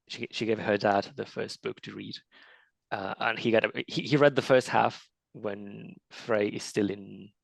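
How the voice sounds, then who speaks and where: A low, gravelly male voice with a flat, even delivery, recorded dry and close. He is an author talking casually in an interview, stumbling over restarts as he tells stories about his readers. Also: no room sound, poor audio.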